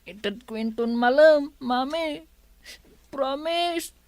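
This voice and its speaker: A woman's voice: high voice, takes it slowly, no echo. A woman voicing a little child in a radio drama, eager and coaxing as the child pleads with a parent. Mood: pleading, eager.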